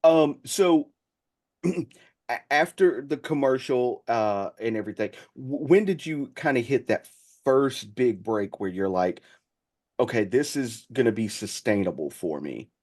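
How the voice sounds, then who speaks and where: A man's voice: mid-range voice, expressive, unhurried, a little mellow. A man hosting a casual interview, feeling his way through questions with hesitant, stammering phrasing. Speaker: podcast interviewer.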